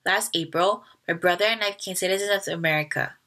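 A woman in her twenties, from South Korea, speaks earnestly and deliberately into a laptop webcam in a small bedroom. Her voice is bright and a little low in pitch, and she addresses the camera directly. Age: 20s. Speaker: student sharing her life motto. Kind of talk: reflective closing statement to camera.

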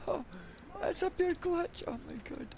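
A man's voice: extremely high pitch, unhurried, gravelly. A man bantering casually over an online game, boisterous, sweary and giggly, on a noisy voice chat. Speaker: video game player.

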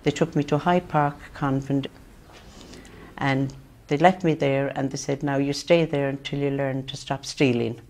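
An older woman giving a quiet, measured interview in a sitting room. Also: very deep voice, steady tone, a little hiss or hum. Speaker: interviewee, former laundry worker. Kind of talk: interview testimony.

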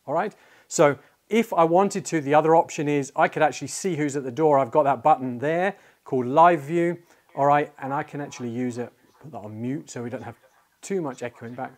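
A man in his forties giving a casual, conversational product walkthrough, pausing and feeling his way as he demonstrates, recorded on a lapel mic in a small studio. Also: on the high side. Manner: conversational, halting while demonstrating. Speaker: tech reviewer, presenter. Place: small indoor studio office.

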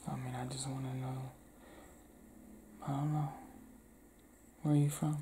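A young man from Cleveland doing an intimate ASMR roleplay, talking softly and often whispering, recorded close in a small indoor room. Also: mid-range voice, level tone, unhurried, slight background noise. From Cleveland, Ohio.

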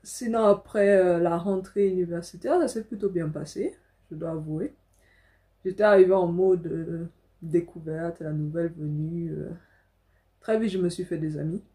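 Mellow-voiced young woman vlogging. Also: on the low side, steady tone, moderate pace. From Africa. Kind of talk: casual personal storytelling to camera. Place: small furnished room indoors.

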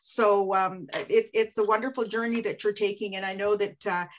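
Female moderator, gracious and measured, muffled video call audio. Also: mid-range voice, fairly even intonation, a little hiss or hum. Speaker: webinar moderator. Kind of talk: moderating and introducing guests.

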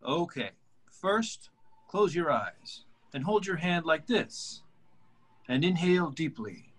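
A man reading the part of a sympathetic, slightly pushy therapist in a sitcom table read, with a husky voice. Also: on the high side, big pitch swings, slow, heavily acted. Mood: soothing, instructing. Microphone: video call.

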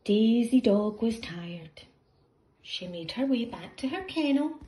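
A middle-aged woman reading a picture book aloud to young children in an expressive, deliberate storytelling way. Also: on the high side.

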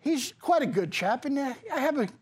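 A man's voice: extremely high pitch, expressive, brisk, darkish tone. Man doing a bawdy old English nanny. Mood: indignant. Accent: put-on English. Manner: rambling, flirty, keeps saying Arthur. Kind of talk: improvised comedy bit.

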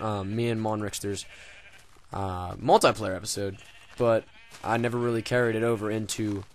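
A young man casually commenting on his game, rambling and joking with lots of swearing and fillers. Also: fairly low pitch. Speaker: gaming video host.

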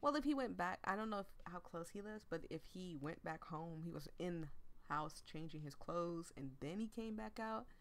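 A woman's voice: lowish voice, expressive, normal speed. A woman hosting a let's play, chatting casually and directly to her viewers. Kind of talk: casual commentary and reading a visual novel aloud.

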